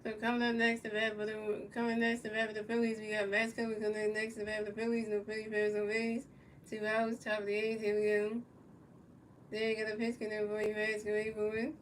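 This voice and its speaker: A woman calls a baseball video game in an extremely flat, unchanging tone, repeating set phrases and restarting lines as she goes. She sits some way from the microphone, and a faint hiss runs underneath. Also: mid-range voice, a little fast. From United States. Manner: repetitive, stumbling calls, talks to chat viewers. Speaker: video game play-by-play commentator. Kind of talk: casual play-by-play commentary of a baseball video game. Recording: distant mic with faint background noise.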